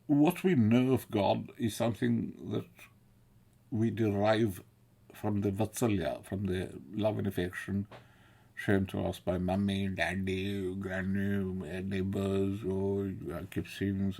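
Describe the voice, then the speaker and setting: A man's voice: fairly low pitch, level tone, takes it slowly, creaky, mellow. A man from South India, talking in a casual, digressive monologue, laughing often and swearing. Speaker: video essayist. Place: London.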